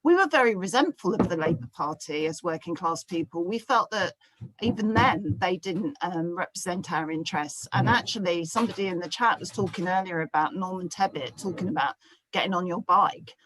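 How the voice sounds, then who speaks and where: A woman in her fifties from South London with a London accent, telling her own story in a live discussion and pausing often on fillers. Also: fairly low pitch, fairly bright tone, low-quality recording. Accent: London English. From South London, England. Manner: hesitant, many ums and fillers. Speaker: audience member asking a question. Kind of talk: casual personal remarks in a live discussion.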